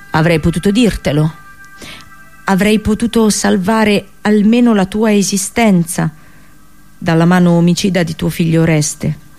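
An actress playing a captive Trojan princess and prophetess in a solemn radio theatre monologue, grave and sorrowful, with literary, declamatory phrasing. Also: female voice, mid-range voice, a little mellow, lightly acted. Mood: resigned, sorrowful.

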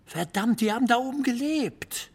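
An actor playing a crusty, contrary old man in an audio drama, heavily gravelly and needling. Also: extremely high pitch, lively intonation, normal speed, heavily acted. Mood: incredulous. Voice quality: very raspy, gravelly. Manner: needling, emphatic, sardonic. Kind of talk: German audio drama acting.

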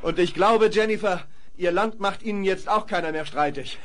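High-voiced young Western hero. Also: male voice, moderate pace, a bit bright. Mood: relieved. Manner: earnest, urgent. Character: plucky, eager young male Western hero with dog. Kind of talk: 1970s German children's radio play acting. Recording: noisy old vinyl radio play recording.

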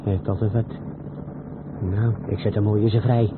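Actor as a truck driver on a noisy old recording. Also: male voice, fairly low pitch, level tone, normal speed, lightly acted. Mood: cocky, carefree. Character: reckless younger truck driver talking to himself, turning panicked. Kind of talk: radio play acting. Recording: muffled noisy old radio play recording.

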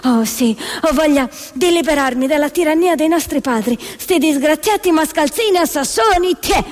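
A woman voicing an excitable, rebellious puppet nun in satirical theatre, gushing and exclaiming with fervent indignation. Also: sits high, normal speed, long reverb tail, voice pushed up. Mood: fervent, defiant.

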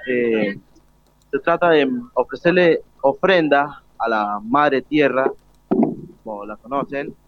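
A teenage Argentine man answers interview questions hesitantly, with an average pitch for a male voice. The recording is dry and lo-fi, like a radio link. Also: no echo. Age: teenage student. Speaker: student being interviewed. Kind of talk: informal explanation in interview. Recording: dull lo-fi radio broadcast link.

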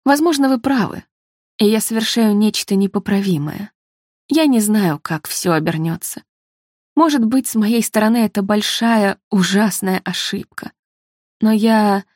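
A woman's voice: mid-range voice, measured pace, darkish tone, no echo, clean studio sound, close to an everyday voice. Woman reading as an earnest, troubled woman confiding. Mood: anxious, resolved. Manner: earnest, confessional.

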